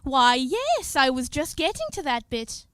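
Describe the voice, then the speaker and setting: A woman's voice: high-pitched, very animated, unhurried, fairly bright tone, dry recording, studio quality, exaggerated voice. A woman acting a vain, flustered fairy-tale widow in a comic radio play, gushing and rambling with affected politeness. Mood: prim, rambling.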